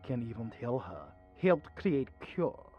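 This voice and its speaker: A man's voice: mid-range voice, sing-song, slow, highs cut off, faint music behind. A man playing a mocking, impatient villain in a horror audio roleplay, sneering in clipped, broken sentences. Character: mocking, impatient villain captor, crude and cruel.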